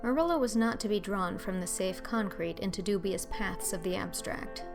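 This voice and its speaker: A woman's voice: medium pitch, normal speed, gravelly, music in the background. A woman narrating a classic children's novel as a scripted audiobook.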